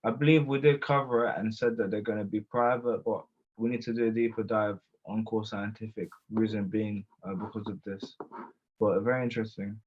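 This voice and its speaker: A man, co-hosting a casual webinar and cutting in with slang and lively asides. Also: on the low side, fairly quick. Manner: animated, interjects with slang. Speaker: co-host. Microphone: video call mic. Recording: lo-fi call audio.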